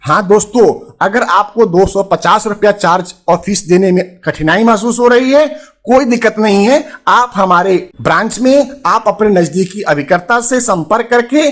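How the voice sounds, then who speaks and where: A man's voice: high voice, moderate pace, fairly bright tone. A man with an Indian accent hosting a finance advice channel, addressing viewers formally and emphatically, repeating phrases for stress. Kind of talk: presenter addressing viewers with a consumer alert.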